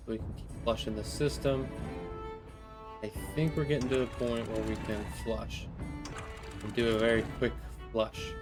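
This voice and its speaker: A man's voice: mid-range voice, flat delivery, normal speed, pauses often. A man hosting a let's play, talking himself through each move in the game and speaking to his viewers in a friendly, direct way. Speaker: gaming channel host. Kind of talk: let's play gameplay commentary. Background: background music.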